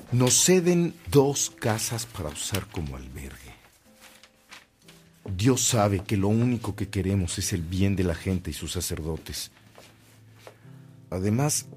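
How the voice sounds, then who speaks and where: A devout Mexican priest in a radio drama. He speaks slowly in a lowish voice, solemn and sincere, over soft music.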